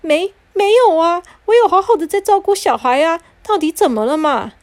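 A whiny, defensive stepmother from a storybook, pleading and drawing out her excuses, as a woman storyteller puts her on. The voice is very high and close, with a dry sound. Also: expressive, unhurried, no room sound. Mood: defensive whine.

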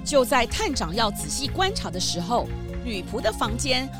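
A woman as the animated, suspenseful narrator of a children's mystery story, reading scripted narration. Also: a little high, normal speed. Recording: music behind the voice.

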